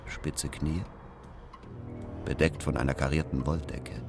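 An actor playing a menacing first-person narrator in a radio drama, measured and coolly ominous. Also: male voice, rumbling low, steady tone, creaky, over music, heavily acted. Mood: ominous, controlled.

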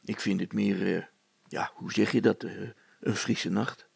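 A man voicing a down-to-earth, unimpressed fellow in a story, sober and deadpan in colloquial words. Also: lowish voice, unhurried, gravelly, slightly rough, no room sound, full character voice.

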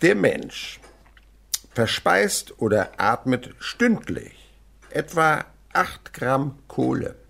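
A man's voice: mid-range voice, big pitch swings, extremely slow, creaky, a bit bright, heavily acted. An actor playing a patient, enthusiastic male teacher and naturalist in a German radio play, with a didactic, dramatic delivery. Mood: instructive, enthusiastic. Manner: didactic, dramatic swings between murmur and declamation.

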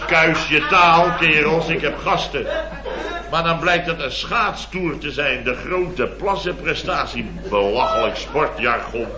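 A man's voice: fairly high pitch, lightly acted. Man playing a blustering boss, roomy old radio. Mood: sarcastic. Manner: indignant, blustery anecdote telling with mimicked quotes. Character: blustering, exasperated male boss of a building firm. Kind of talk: 1970s Dutch radio comedy acting. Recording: muffled old radio recording, roomy, studio audience.

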